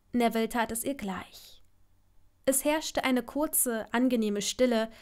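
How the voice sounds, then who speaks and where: A woman reading scripted story narration as the narrator of an audio drama. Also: high voice.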